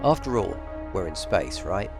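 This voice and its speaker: A man's voice: deep voice, sing-song, a little slow, vocal fry. A man narrating a scripted game tutorial in a methodical, explanatory way. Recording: faint music behind the voice. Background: faint music.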